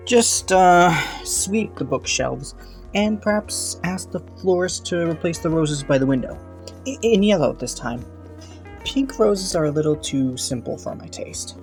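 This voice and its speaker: A low-pitched young prince played by a woman speaks in an intimate audio drama over faint music. He is courteous and kind, a little hesitant and rambling, with a playful, teasing streak. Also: animated, measured pace. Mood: polite, flustered. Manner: polite, hesitant, softly teasing.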